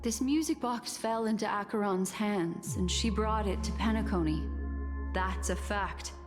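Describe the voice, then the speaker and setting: A woman voicing a composed, mysterious keeper of memories in a video game, measured and musing to herself. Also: mid-range voice, close to an everyday voice. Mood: puzzled. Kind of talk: video game character acting. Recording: long reverb, music underneath.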